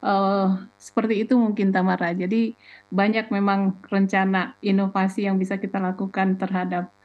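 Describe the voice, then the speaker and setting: A woman on a talk show, explaining as a guest grower. She often asks herself questions and fills her talk with pauses. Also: mid-range voice, flat delivery, highs cut off.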